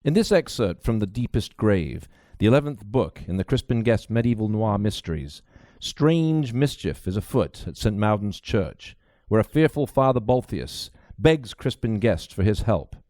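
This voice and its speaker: A man's voice: fairly low pitch, very animated, normal speed, warm tone, dry recording, clean studio sound. A man reading a mystery novel aloud as a dramatic, scripted narration. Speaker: audiobook narrator, actor.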